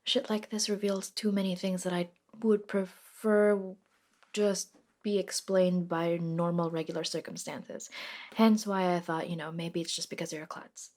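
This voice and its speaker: A woman playing a reluctant, sardonic modern witch in a soft-spoken ASMR roleplay, talking casually and hesitantly with dry wit and mild swearing. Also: lowish voice, level tone, a little slow. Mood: reluctant, wry.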